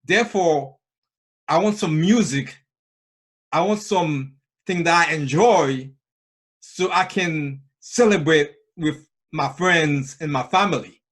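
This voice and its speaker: Guy doing a club speech on a video call, slow, a bit high, earnest and simple. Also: a bit bright. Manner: earnest and plain-spoken, repeats himself. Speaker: Toastmasters speaker.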